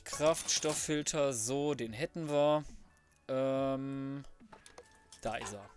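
A man's voice: mid-range voice, takes it slowly, soft background music. A man giving casual let's play commentary, colloquial and mildly sweary, often muttering to himself. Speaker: gaming video host.

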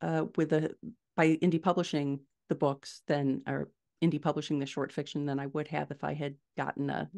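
A middle-aged woman with a low voice speaks as a guest in a conversational interview. She explains her points step by step and leans on the key words. She is recorded over a video call from a room at home. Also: flat delivery, moderate pace, gravelly, a little mellow. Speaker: podcast guest, indie fiction author. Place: small furnished room at home, indoors.

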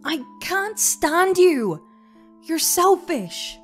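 A woman's voice: extremely high pitch, sing-song, very slow, faint music behind, pitched above the performer's own voice, heavily acted. A woman voicing a hot-tempered gem ruler in a comic dub, accusing and exasperated. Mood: furious.

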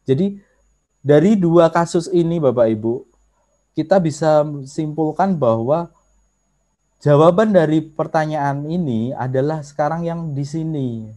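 A man with a Javanese accent gives an online workshop lecture over a video call. His voice sits a little high but has a mellow, dark tone. He explains step by step, turning often to address his listeners. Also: unhurried. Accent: Javanese Indonesian. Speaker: workshop instructor.

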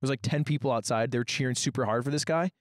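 Podcast host, male, twenties, American accent, mid-pitched, casual group chat, dry handheld-mic sound. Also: fast talker, no echo. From New Hampshire, United States. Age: 20s. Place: outdoor rooftop deck. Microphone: handheld microphone held close.

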